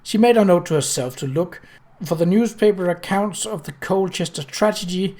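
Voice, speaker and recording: A narrator reading a novel aloud in an expressive, scripted storytelling style. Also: medium pitch, normal speed. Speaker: audiobook narrator.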